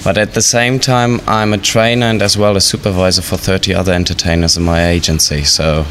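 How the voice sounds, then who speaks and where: A man's voice: low-pitched, little pitch movement, a bit bright, slight background noise. A man, a hotel's chief entertainer, giving a chatty, easygoing interview in a hot hotel bar. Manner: chatty, easygoing, quick to laugh. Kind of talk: interview answers.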